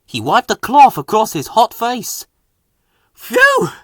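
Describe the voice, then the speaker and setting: A man's voice: high-pitched, big pitch swings, measured pace, darkish tone, slightly rough, no room sound. Male narrator for children's story, hugely varied intonation, dry sound.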